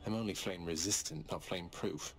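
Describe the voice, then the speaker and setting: An actor playing a cocky, defiant young underworld prince in a video game, speaking in wry, clipped, self-assured remarks. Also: male voice, sits low, normal speed. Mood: cool, wry. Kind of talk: video game character barks. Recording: game music behind voice.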